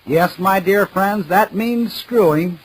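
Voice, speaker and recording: A man's voice: high-pitched, slow, husky, full character voice, rough recording. A man playing a pompous, mock-serious radio announcer giving an oratorical comedy speech in 1940s style on an old 78rpm record. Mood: mock-solemn.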